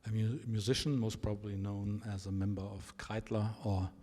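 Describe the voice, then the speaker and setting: A man's voice: lowish voice, little pitch movement, moderate pace, mellow. A man moderating a panel, introducing the guests hesitantly with frequent fillers. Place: large exhibition hall. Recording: long hall reverb.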